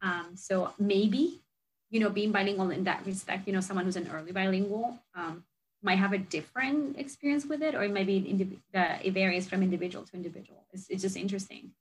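A woman's voice: mid-range voice, fairly quick, slight background noise, poor audio. A chatty young woman you might hear hosting a parenting podcast, casually thinking things through with a colleague. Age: young adult. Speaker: host and researcher. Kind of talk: casual reflective conversation.

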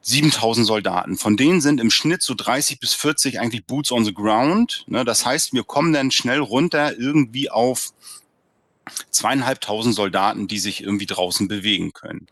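A man's voice: medium pitch, moderate pace, fairly bright tone, dry recording, studio quality. A man, an author and former soldier, answers an interview question in a rambling, reflective way, full of fillers and tag questions.

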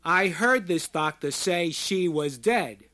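Man acting a loud, insistent squat working-class man. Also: a little high, very animated. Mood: angry, accusing. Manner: shouting, slow emphatic declarations in folksy ungrammatical speech. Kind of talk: audiobook character voice.

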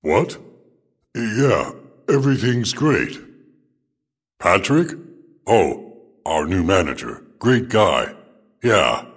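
A man voicing a big, dim barbarian fast-food worker with a slow, blunt, casual delivery in a comic radio drama. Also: on the low side, husky, full character voice. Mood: evasive, casual. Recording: music bed behind the voice.

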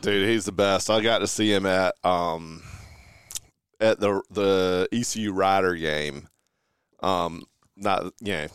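A man's voice: low-pitched, steady tone, slow pace, clean tone, dry recording. A man, a guest in a radio studio, easygoing and hesitant with ums. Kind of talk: casual chat. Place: small home radio studio.

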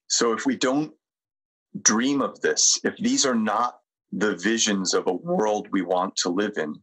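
Middle-aged man, American accent, deep voice, very slow pace, reflective podcast guest. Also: a bit bright, rough recording. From United States. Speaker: podcast guest, sustainability consultant. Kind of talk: reflective interview talk and guided presentation.

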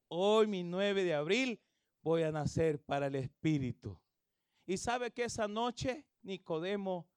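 A man, preaching an evangelistic sermon and leading the congregation in reading, with phrasing that swells into shouts. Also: high-pitched, smooth, no room sound, studio quality. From El Salvador. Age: 30s. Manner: calls everyone "hermano", drawn-out emphatic phrasing. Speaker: pastor.

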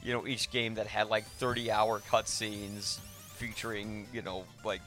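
A man hosting a podcast, casually discussing films in a rambling, halting way that often interrupts itself. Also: fairly low pitch, flat delivery, a bit bright, soft background music. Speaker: podcast host.

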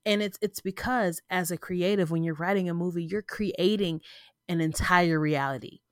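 A woman's voice: lowish voice, normal speed, dry recording, studio quality. A woman hosting her own podcast, talking casually and informally with a stuffy, congested voice, often restarting and checking in with "right?". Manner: rambling, informal, frequent "right?" asides and self-restarts. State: congested, sniffling. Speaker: podcast host. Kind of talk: casual solo podcast talk. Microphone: close podcast mic.

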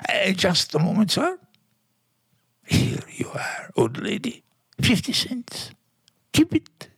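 A man playing a fussy, excitable, pompous psychiatrist with a raspy voice and stammering repetitions, in 1940s radio comedy style. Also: high voice, slow pace, dry recording, clean studio sound, full character voice. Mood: flustered, excitable. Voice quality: raspy, creaky. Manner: fussy, stammering, repeating words.

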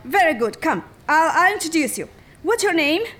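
An actress playing an exuberant, bossy dance teacher, effusive and commanding, in a radio drama. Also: female voice, very high voice, big pitch swings, normal speed, a bit bright, heavily acted. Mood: exuberant, encouraging. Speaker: dance instructor.

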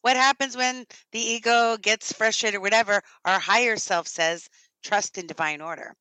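A woman host with a bright, forceful voice, recorded close and dry with no room sound. She encourages her guest and laughs easily. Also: medium pitch, normal speed, no echo, low-quality recording. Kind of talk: interview hosting.

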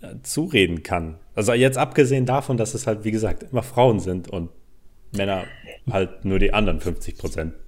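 A man's voice: on the low side, fairly quick. A man, co-hosting a casual podcast, telling stories in a colloquial, sweary, ranting way and acting out quotes. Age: 20s. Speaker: podcast co-host. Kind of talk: casual podcast banter and storytelling.

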